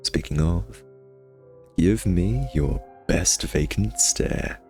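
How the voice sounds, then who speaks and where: A man's voice: deep voice, takes it slowly, darkish tone, soft background music. A man playing a cocky, sardonic vampire nemesis who teases and taunts the listener in an intimate ASMR roleplay. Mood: needling, exasperated.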